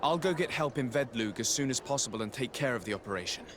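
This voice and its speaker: An actor playing a composed, decisive diplomatic envoy in a video game, speaking in a formal, measured way. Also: male voice, mid-range voice, animated, noisy background, close to an everyday voice. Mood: earnest, resolute. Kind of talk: scripted video game acting.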